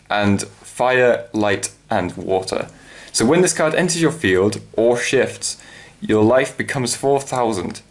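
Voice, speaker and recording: A man presenting a casual unboxing, talking off the cuff in a hesitant, rambling way as he thinks aloud. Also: medium pitch. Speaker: trading card unboxing presenter. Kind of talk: casual unscripted product unboxing commentary.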